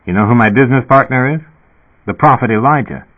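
A man's voice: mid-range voice, fast, voice pushed up, close to an everyday voice. A man voicing a confused, deluded man who rambles earnestly. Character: confused, deluded man fresh from cryonic suspension. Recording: tinny phone-like sound.

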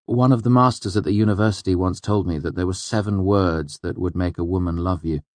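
A man's voice: low-pitched, brisk, no room sound, natural voice. A man voicing a bashful, smitten young student in audiobook dialogue, earnest but trying to sound casual.

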